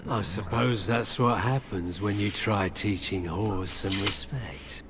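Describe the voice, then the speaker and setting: An actor playing a callous, menacing guard with a sneering, contemptuous delivery in a fantasy video game. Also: male voice, lowish voice, slow pace, a bit bright, slight background noise. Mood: cold contempt.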